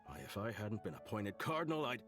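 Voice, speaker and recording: Game role: scolding, sarcastic churchman, hugely animated delivery, music clearly behind. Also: lowish voice, brisk. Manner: sarcastic, reproachful, emphatic. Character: exasperated, scolding cardinal, grown man. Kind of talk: video game voice acting.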